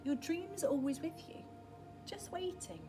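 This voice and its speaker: A woman reading a picture book aloud for young children, dramatic and expressive, often dropping into a hushed, breathy tone. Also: extremely high pitch, normal speed, music in the background.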